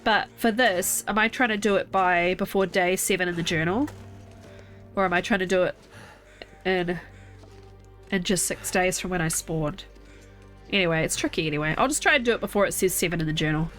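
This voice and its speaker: A woman's voice: on the low side, a little fast, long pauses, fairly bright tone, soft background music. A young woman streamer with a congested, stuffy nose, chatting casually and thinking aloud as she plays a game from home. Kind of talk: casual live gameplay chat.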